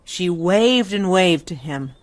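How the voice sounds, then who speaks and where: A woman narrator reading a literary ghost story in a measured, formal storytelling manner. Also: lowish voice, expressive, dry recording. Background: faint music.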